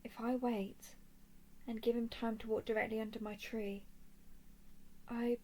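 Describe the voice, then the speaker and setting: A woman playing a watchful, confident dragon-clan scout in a scripted roleplay audio drama, with measured, expressive delivery. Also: on the high side. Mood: hushed, tense. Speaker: voice performer.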